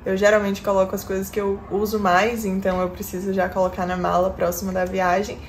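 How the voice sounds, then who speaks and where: A woman's voice: medium pitch, level tone, fairly quick, slight background noise. Chatty young woman vlogging. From Bahia, Brazil. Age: 20s. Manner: chatty, explains step by step. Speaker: lifestyle vlogger. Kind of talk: casual vlog chat. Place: small bedroom indoors. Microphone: phone camera at arm's length.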